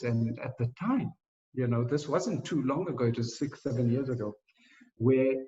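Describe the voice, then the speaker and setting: A man from South Africa giving thoughtful, unhurried interview answers with many fillers and light laughs. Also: medium pitch, a little mellow. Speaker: interview guest, financial coach. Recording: distant, dull lo-fi audio.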